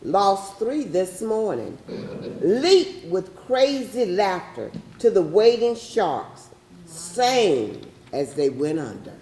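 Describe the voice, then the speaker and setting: A woman's voice: medium pitch, big pitch swings, takes it slowly, dark tone. A woman playing a fearful, haunted slave-ship sailor in a grave, solemn readers theatre recital, recorded in a roomy, echoing space. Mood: grim.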